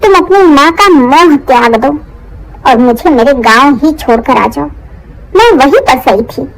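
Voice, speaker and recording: Woman acting high-pitched, scolding older mother-in-law. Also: slight background noise, low-quality recording. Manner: complaining, melodramatic. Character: aggrieved, scolding older mother-in-law, self-pitying and sarcastic. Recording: music under the voice.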